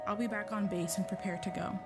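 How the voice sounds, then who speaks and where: A woman's voice: mid-range voice, steady tone, fast, loud music behind the voice, lightly acted. A woman playing a guarded, bitter secret agent in an audio drama, terse and sardonic. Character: guarded, bitter, curt female covert agent.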